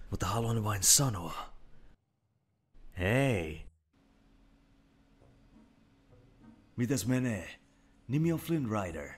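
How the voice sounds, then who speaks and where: A man voicing a cocky, flippant young thief who gets exasperated easily, husky and colloquial, in an animated film fan dub. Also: mid-range voice. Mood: smug.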